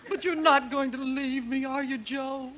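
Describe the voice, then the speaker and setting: An actress playing a contrite, pleading housewife in a melodramatic 1950s radio soap parody. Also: female voice, high voice, fairly even intonation, a little slow, fairly bright tone, highs cut off. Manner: earnest, melodramatic. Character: contrite, pleading housewife confessing her failings. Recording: noisy 1950s radio recording.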